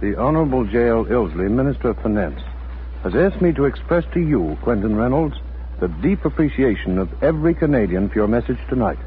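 A man's voice: medium pitch, big pitch swings, a little fast. A man making a formal announcement as a 1940s radio announcer. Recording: narrow-band 1940s radio broadcast.

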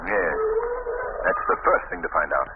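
An actor playing a composed gentleman sleuth with measured, formal delivery in an old radio drama. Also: rumbling low, huge pitch swings, full character voice. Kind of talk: 1940s radio acting. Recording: tinny, noisy old radio recording.

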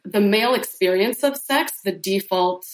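A woman's voice: medium pitch, moderate pace. A woman guest on a podcast, explaining her views in a casual way, with many "um"s and "right?"s and some laughs. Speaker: podcast guest, sex coach. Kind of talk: casual interview talk. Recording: roomy echo.

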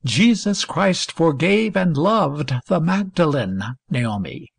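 A woman reading a storybook, voicing a gentle old male minister who comforts slowly and solemnly. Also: low-pitched, animated, vocal fry, slightly rough, no room sound, voice pushed down, exaggerated voice. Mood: gentle consoling.

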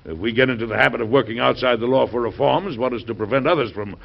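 Hearty, gravelly American president, old radio. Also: male voice, medium pitch. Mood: firm, courteous. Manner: hearty, chuckling, formal and deliberate. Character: genial, middle-aged American president, jovial food-loving husband. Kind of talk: old-time radio drama acting. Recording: dull, noisy old radio recording.

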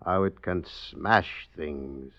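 A brooding husband with murder on his mind in a radio play. His voice is very deep and slow, with terse, grave phrasing. The sound is dull and lo-fi. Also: sharp tone, full character voice. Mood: grim, scheming. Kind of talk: radio drama acting.

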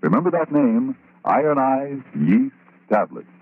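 A man's voice: medium pitch, very animated, a little slow, husky. A man announcing in 1940s radio style, formal, dramatic and emphatic. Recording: muffled old radio recording, music behind.

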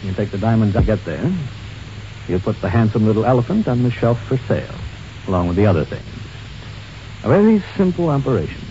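An actor playing a calculating, self-assured art dealer turned diamond smuggler in a 1940s radio drama. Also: male voice, on the low side, sing-song, fairly quick, dark tone. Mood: smugly confident. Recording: muffled, faintly noisy old radio recording.

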